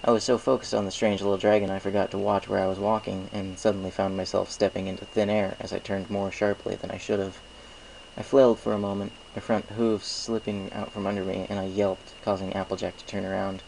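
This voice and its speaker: A man's voice: fairly low pitch, level tone, brisk, vocal fry, a little mellow, slight background noise, poor audio. A man reading a scripted first-person story aloud as an amateur narrator.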